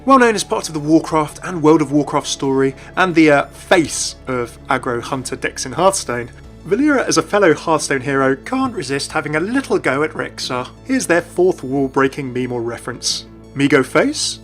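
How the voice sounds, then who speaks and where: A man's voice: on the high side, sing-song, moderate pace, a bit bright. A man hosting a video game channel, reading a scripted explanatory narration. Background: background music.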